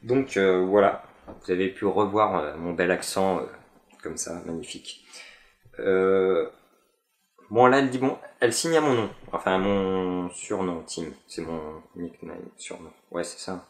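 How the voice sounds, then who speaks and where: A young man chats casually to camera in a lowish voice. He stumbles through fillers and restarts. The room around him rings with echo. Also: a little slow. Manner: hesitant, many fillers and restarts. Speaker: vlogger. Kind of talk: casual chat about a fan convention.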